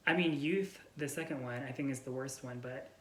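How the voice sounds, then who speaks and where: A man's voice: mid-range voice, brisk, a bit bright. A man co-hosting a casual book chat with a friend, speaking informally and peppering sentences with "like". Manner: informal, filler-heavy with frequent "like". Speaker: book vlogger and co-host. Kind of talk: casual chat between friends about books. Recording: echoey room with faint noise.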